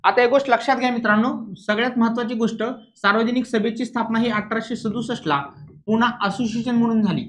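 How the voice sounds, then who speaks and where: A high-pitched man lectures as an exam coaching teacher, stressing and repeating key points and checking understanding with questions. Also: fairly quick, fairly bright tone. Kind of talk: classroom lecture explaining answers.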